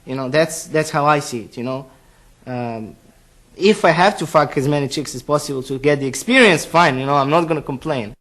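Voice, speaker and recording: A man's voice: on the high side, a little fast, fairly bright tone. A man from Eastern Europe, a student, speaking frankly and bluntly in a confessional interview. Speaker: student, reality show contestant.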